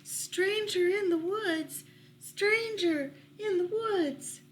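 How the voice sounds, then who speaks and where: A woman voicing an alarmed storybook blue jay with drawn-out cawing warning cries. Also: very high voice, a little hiss or hum, voice pushed up, full character voice, poor audio.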